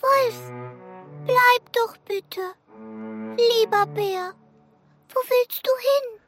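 A woman playing a small, gentle, earnest female mouse with a childlike voice in a children's audio play. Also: extremely high pitch, drawn-out words, dry recording, faint music behind, full character voice. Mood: pleading, sad.

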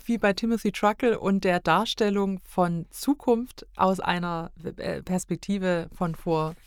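A woman's voice: fairly low pitch, unhurried, smooth, dry recording, clean studio sound. A middle-aged woman talking casually as a podcast guest, often hesitating and searching for words. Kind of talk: casual conversational discussion.

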